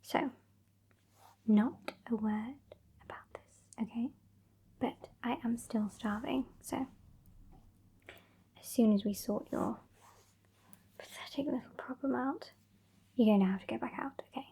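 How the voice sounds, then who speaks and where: A woman playing a haughty, impatient British female vampire in an ASMR roleplay, whispering and speaking softly in a breathy, condescending way. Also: a little high, full character voice. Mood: demanding. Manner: slow, condescending, withering. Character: sassy, haughty, impatient female vampire scolding her weakling fledgling.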